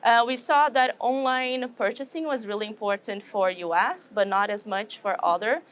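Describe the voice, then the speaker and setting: Brazilian woman explaining research findings. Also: on the high side. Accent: Brazilian Portuguese. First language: Portuguese. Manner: measured, explanatory, frequent fillers and restarts. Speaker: animal science professor, guest. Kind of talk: interview answers. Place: trade show.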